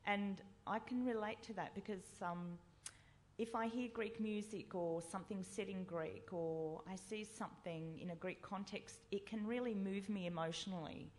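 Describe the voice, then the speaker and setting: A woman poet speaking earnestly on a festival panel, growing animated as she describes what moves her. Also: mid-range voice, moderate pace. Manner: earnest, animated when moved. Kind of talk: panel discussion.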